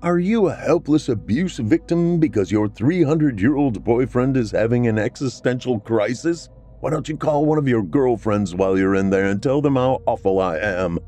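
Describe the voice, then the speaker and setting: A man's voice: mid-range voice, animated, moderate pace, mellow, faint music behind, pitched above the performer's own voice, close to an everyday voice. A man voicing a brooding, self-pitying vampire man three centuries old, melodramatic and anguished. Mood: bitter.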